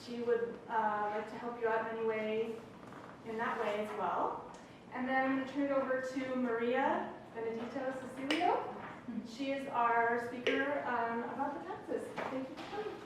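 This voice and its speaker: High-pitched woman doing quick friendly announcements, far from the mic, super echoey. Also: steady tone. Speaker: financial aid office staff. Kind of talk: announcements introducing a speaker. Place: campus lecture room. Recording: echoey hall from far off with noise.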